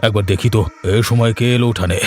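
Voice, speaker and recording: A man's voice: lowish voice, steady tone, a little fast, slight background noise, lightly acted. A man voicing an anxious, pious older village uncle in a cartoon, sighing and exclaiming dramatically. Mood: worried. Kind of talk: cartoon voice acting. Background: music.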